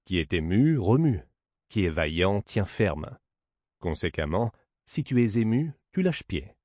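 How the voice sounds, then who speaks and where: A man as a sly, mocking servant, animated delivery. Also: fairly low pitch, measured pace, dry recording, clean studio sound. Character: Grégoire, mocking, sly, sceptical male servant.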